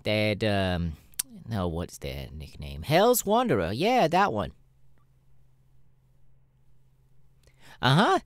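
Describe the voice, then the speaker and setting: A man voicing a sassy, cynical male demon adult performer in a casual, drawling audio roleplay, often pausing mid-thought. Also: on the low side, no echo, studio quality. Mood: chatty. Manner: hesitant, drawling, animated, irreverent.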